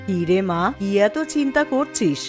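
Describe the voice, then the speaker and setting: An older village mother voiced by a woman, noticeably lower than the performer's usual voice. She sounds calm and reassuring in a cartoon, with faint music behind. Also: moderate pace, natural voice. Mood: concerned. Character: calm older mother of a married daughter, soothing. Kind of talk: Bengali moral cartoon dubbing.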